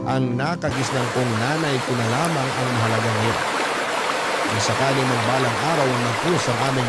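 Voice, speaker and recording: A man's voice: mid-range voice, lightly acted. A man narrating a personal letter in the first person, in an earnest, sentimental, dramatic storytelling manner. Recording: constant loud music behind voice. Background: music.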